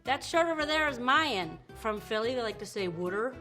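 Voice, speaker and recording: A woman's voice: a little high, expressive, moderate pace, sharp tone, faint music behind. Chatty woman from New Mexico. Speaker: interviewee. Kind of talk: casual talk to camera.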